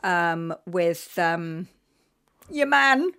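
A woman from London with an English accent, a guest chatting frankly and quickly in a radio interview. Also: lowish voice, animated, creaky, pushed and bright, clear, resonant tone. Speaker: guest performer. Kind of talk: casual interview chat.

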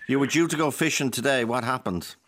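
A man's voice: mid-range voice, brisk, fairly bright tone. A man presenting a radio show, questioning a caller in a probing, somewhat rambling way.

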